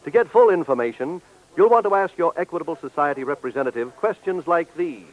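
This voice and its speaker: A man's voice: a little high, lively intonation, fast talker. A man announcing in a formal, polished, scripted 1940s radio style as a sponsor's spokesman. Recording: dull old radio recording.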